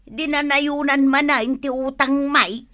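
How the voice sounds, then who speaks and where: A woman's voice: high voice, steady tone, unhurried, pushed and bright, no room sound, clean studio sound. A woman with an Ilocano Filipino accent playing a nagging, plaintive village wife in a radio comedy drama, exclamatory and scolding. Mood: surprised, complaining.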